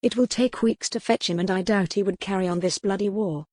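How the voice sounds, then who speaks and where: A woman's voice: mid-range voice, brisk, dark tone, no room sound, clean studio sound, natural voice. A woman voicing a remorseful yet determined young noblewoman in a historical romance audiobook. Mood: thoughtful. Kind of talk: dramatic audiobook character reading.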